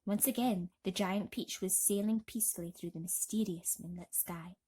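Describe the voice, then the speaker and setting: A woman storyteller reading a children's bedtime story dramatically and expressively, with emphatic pauses and swells. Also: mid-range voice, fairly even intonation, warm tone, slightly rough.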